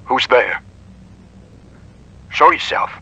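A man playing a hard-bitten, world-weary investigator in an analog horror drama, gruff and terse. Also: extremely slow, sharp tone, muffled recording, slight background noise, heavily acted. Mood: alarmed.

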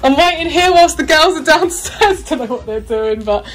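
A woman in her thirties with a fairly high voice talks to her vlog audience. She gushes in a casual, chatty way, filmed on a handheld camera indoors. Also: animated, moderate pace, soft background music. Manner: gushing, effusive. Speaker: beauty vlogger. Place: indoors, rooms of a house.

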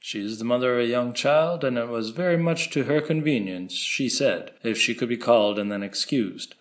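Actor as a courteous, formal district attorney. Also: male voice, medium pitch, moderate pace, lightly acted. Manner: polite, formal oratory. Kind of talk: dramatic reading of a court transcript.

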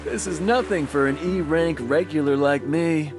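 A man voicing an excitable, boastful young vacationer in an anime game, with an exclamatory, dramatic delivery. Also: on the high side, moderate pace, loud music behind the voice, close to an everyday voice.

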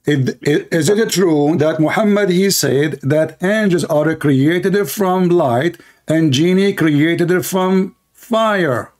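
A man's voice: fairly high pitch, slow, few pauses, a little mellow. A man hosting an online debate, combative and mocking, repeating his demands. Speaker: debate host.

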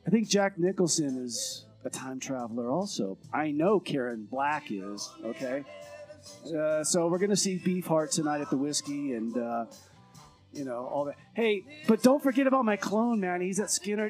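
Radio comedy role: male actor as easygoing, hip time traveler, slightly high voice over faint guitar. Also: lively intonation, normal speed, natural voice. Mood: breezy. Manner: casual, hip slang. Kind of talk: comedy radio skit monologue.

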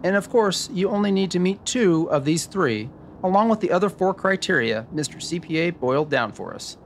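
A man playing a genial, sharp tax expert in a scripted noir radio drama, upbeat and explanatory. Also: high voice, lively intonation, a little fast, vocal fry, slight background noise. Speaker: podcast host acting in a radio drama.

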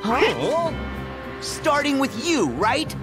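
A man's voice: very high voice, very animated, drawn-out words, over music, full character voice. A man playing an earnest, plucky teenage boy hero in a video game, blunt and casual in manner. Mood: wary, defiant. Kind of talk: video game cutscene acting.

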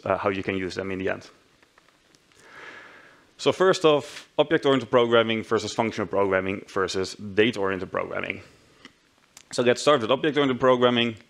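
A man in his thirties with a Dutch accent, giving a friendly, self-deprecating tech talk on a conference stage, with frequent restarts. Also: mid-range voice. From Netherlands. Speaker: conference speaker. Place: large conference hall stage.

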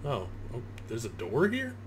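A man's voice: mid-range voice, huge pitch swings, unhurried. A man giving casual, profane, reactive commentary on a video game he plays, talking to himself. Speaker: gamer recording a playthrough. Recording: clear background noise, game ambience.

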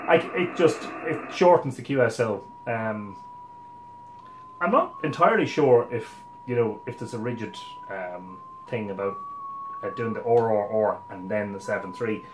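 Irish guy casually explaining his hobby setup, thinks out loud, mid voice, bit of room echo. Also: mid-range voice. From Ireland. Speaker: amateur radio operator presenting. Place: small home radio room, indoors. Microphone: camera a couple of metres away.

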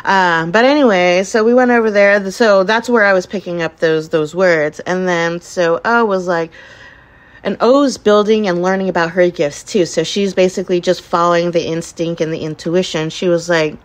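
A woman's voice: lowish voice, moderate pace. A woman podcast host telling a casual first-person story in a chatty way, acting out remarks people made. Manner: chatty, acts out quoted remarks.

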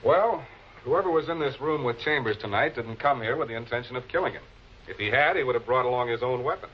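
Actor as a composed American prosecutor, muffled old film. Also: male voice, mid-range voice, expressive, fast, a little hiss or hum, lightly acted. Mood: probing, dry. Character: composed, probing male public prosecutor, dryly authoritative. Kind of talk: 1940s American television crime drama acting.

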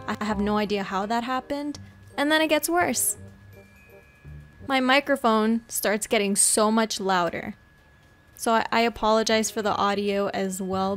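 A young adult woman who makes gaming videos, giving a casual intro to her viewers. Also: mid-range voice. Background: music.

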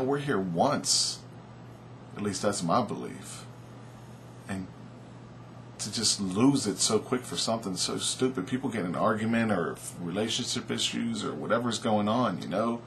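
A man in his thirties vlogging from his couch, rambling earnestly with a loud voice and lots of "man" and "you know". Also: on the low side, slight background noise. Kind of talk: casual opinion vlog to camera. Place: small indoor room, seated on couch.

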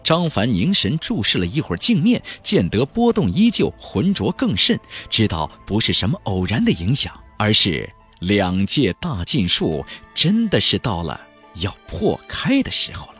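Husky, highly animated male audiobook narrator. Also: normal speed, soft background music. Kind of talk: dramatic scripted narration of a fantasy novel.

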